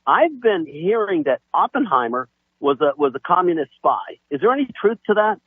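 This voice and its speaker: Over a narrow phone line, a man in his seventies phones a radio show. His voice is high and husky, swinging widely in pitch as he chats. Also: sing-song, normal speed, no room sound. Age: 70s. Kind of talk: casual phone-in question.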